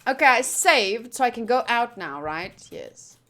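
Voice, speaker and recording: A woman with a high, very bright voice hosts a game stream. She talks casually to her chat, animated and playful, and likes to tease. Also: measured pace. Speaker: streamer hosting a game playthrough. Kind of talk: casual livestream chat to viewers.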